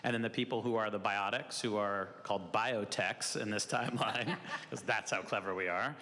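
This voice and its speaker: A man's voice: on the low side, moderate pace, sharp tone. A man explaining the setting of a story in a plain, matter-of-fact way. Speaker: actor guest. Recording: echoey convention hall.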